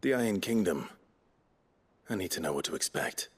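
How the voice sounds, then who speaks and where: An actor playing a brooding, loyal warrior lord in a fantasy video game, earnest and terse, with low-key, formal delivery. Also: male voice, animated, moderate pace, breathy edge. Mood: businesslike. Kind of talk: dramatic fantasy game acting.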